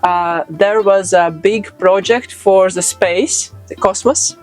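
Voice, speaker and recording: A middle-aged woman with a Latvian accent, a yoga expert answering interview questions in a thoughtful way, pausing often with fillers. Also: medium pitch, faint music behind. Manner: thoughtful, with frequent pauses and "uh" fillers.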